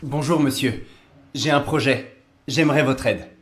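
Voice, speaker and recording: A man playing an ambitious, defiant young aspiring creator in an animated cartoon. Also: mid-range voice, a little slow, a little hiss or hum, lightly acted. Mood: pleading.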